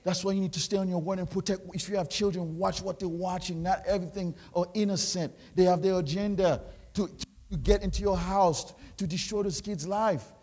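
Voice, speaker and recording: A Haitian man with a Haitian French accent, a pastor preaching a sermon, rising into loud shouted exhortations. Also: high voice, fairly even intonation, brisk. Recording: long hall reverb.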